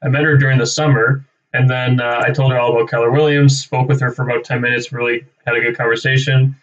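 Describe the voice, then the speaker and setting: A man's voice: medium pitch, little pitch movement, fast. A young man chatting casually and off the cuff on a livestream, rambling and thinking aloud. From Canada. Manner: rambling, thinking aloud, tags sentences with "right?". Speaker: realtor hosting a live cold-calling stream. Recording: distant, slightly dull microphone.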